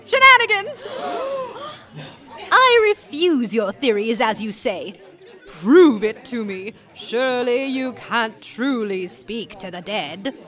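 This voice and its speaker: An indignant, pompous high magister for an audio drama. Her voice is high and very animated, stretching words grandly over faint music. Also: takes it slowly, heavily acted. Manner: pompous, declamatory. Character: haughty, imperious female magic magister, indignant grande dame.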